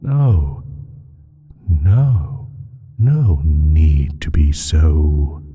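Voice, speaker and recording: Extremely deep ancient evil creature. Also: male voice, very animated, narrow, tinny audio, exaggerated voice. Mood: condescending, soothing menace. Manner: slow, drawn-out, breathy whispering, condescending. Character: ancient evil male creature, gloating, playful, menacing. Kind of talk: scripted roleplay audio drama monologue.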